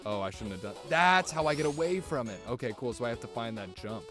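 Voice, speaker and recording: A man streaming a video game, chatting casually with viewers in an excitable, rambling way and swearing often. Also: mid-range voice, fast. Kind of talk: casual gameplay commentary. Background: music.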